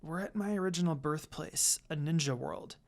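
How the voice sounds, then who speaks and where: A reader plays an emotional young girl in a fan fiction story. She sounds soft and hesitant, apologetic and eager to please. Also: mid-range voice, moderate pace, vocal fry, close to an everyday voice.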